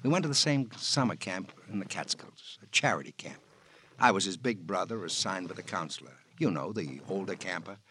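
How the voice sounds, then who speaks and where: An actor playing a brooding, loyal American confidant, speaking in halting, reflective, theatrical phrases in radio crime drama style. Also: male voice, deep voice, expressive, pushed and bright, exaggerated voice. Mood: earnest, protective. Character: brooding, loyal male confidant, protective older friend. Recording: faintly noisy old radio recording.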